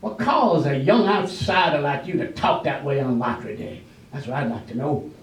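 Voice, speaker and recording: A man's voice: a little high, moderate pace, lightly acted. A man in amateur readers theater, playing a cantankerous, stubborn village man in his late seventies with rustic, scornful talk. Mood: stubborn, irritated. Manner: scornful, rustic country speech. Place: live performance in a library room. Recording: roomy, distant, noisy live library recording.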